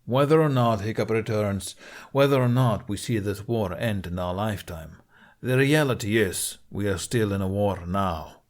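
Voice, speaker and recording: A man voicing a stern, weary middle-aged Viking chief in an audiobook, grave and resolute in a measured way. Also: on the low side, natural voice. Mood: resolute, rallying.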